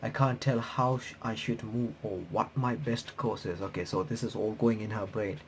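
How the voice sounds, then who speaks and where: A man reading visual novel text aloud haltingly, with casual reaction commentary. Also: on the low side, steady tone, slight background noise. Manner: halting read-aloud, stumbling and rereading phrases. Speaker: let's-play video creator reading a visual novel aloud. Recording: game soundtrack playing behind the voice. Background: visual novel music.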